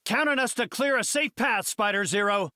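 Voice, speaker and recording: An actor playing an earnest middle-aged scientist superhero in a video game, speaking in an articulate, cerebral, heroic way. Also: male voice, high-pitched, moderate pace, pushed and bright, dry recording, clean studio sound. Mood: determined. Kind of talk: video game hero voice lines.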